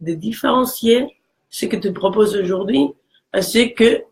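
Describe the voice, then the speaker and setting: A woman from Mexico with a Mexican Spanish accent and a low voice, talking earnestly in a casual conversation. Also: a little slow, vocal fry, a little mellow. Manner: earnest, encouraging, with frequent restarts.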